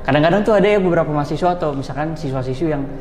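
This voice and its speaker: Fast-talking young man, echoey. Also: on the high side, darkish tone. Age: 20s. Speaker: interview guest, IT specialist. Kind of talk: casual interview answers. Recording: roomy echoing hall, music behind.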